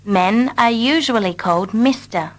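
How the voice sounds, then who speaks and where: A woman repeating short English dialogue lines slowly and carefully for learners. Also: a little high. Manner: carefully repeats each line. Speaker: English teacher. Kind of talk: slow scripted reading for learners.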